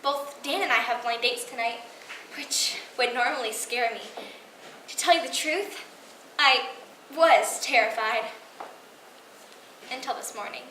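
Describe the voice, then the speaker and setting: A young teenage girl performing a stage monologue as an excitable, hopeful young woman, chatty and dramatic. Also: fairly high pitch, a little slow, pauses often. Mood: excited, hopeful. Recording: roomy, distant, noisy live recording.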